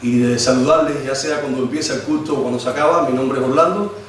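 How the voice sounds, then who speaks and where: A man's voice: mid-range voice, quick pace, a little hiss or hum. A man in his forties with a Cuban accent preaching a sermon and praying, talking in a hesitant, informal way with many restarts. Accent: Cuban Spanish. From Cuba. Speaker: lay preacher. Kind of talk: sermon with prayer. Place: church hall. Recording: echoey church hall, distant mic.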